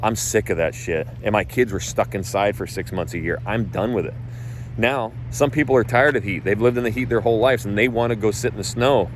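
A man's voice: on the low side, fairly quick, slight background noise. A man from North Idaho who coaches real estate agents, talking casually in a blunt, emphatic way and swearing freely. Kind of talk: casual talk.

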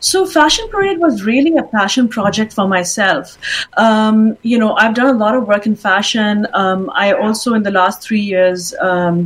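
A middle-aged woman from Pakistan answering interview questions as a guest, speaking in a measured way with frequent ums and restarts. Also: medium pitch. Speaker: guest, fashion event founder.

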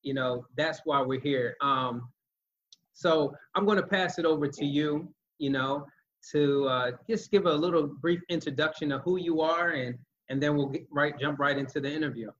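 A man's voice: fairly high pitch, moderate pace, muffled recording. A man hosting a casual interview, talking in a friendly, loose way and often repeating words. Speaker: interview host. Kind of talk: casual interview over a call.

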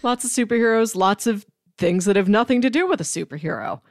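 A woman's voice: medium pitch, animated, fairly quick, dry recording, studio quality. A woman co-hosting a podcast, talking in a casual, conversational way. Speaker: podcast co-host. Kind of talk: casual chat.

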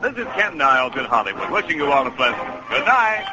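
A man's voice: mid-range voice, very animated, fairly quick, close to an everyday voice, poor audio. An actor in 1940s radio comedy, playing the straight man and announcer. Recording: old radio broadcast with music.

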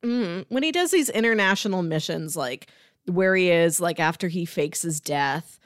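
A woman's voice: on the low side, animated, normal speed, dry recording, studio quality. A woman co-hosting a podcast in casual chat, thinking aloud informally with plenty of "like". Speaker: podcast co-host.

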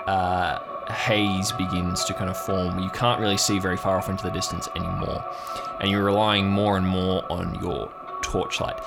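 A man with a low voice acts as game master, describing scenes directly to his players. He improvises his narration, and music plays clearly behind him. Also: little pitch movement, moderate pace, hardly any pauses. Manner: descriptive second-person scene setting.

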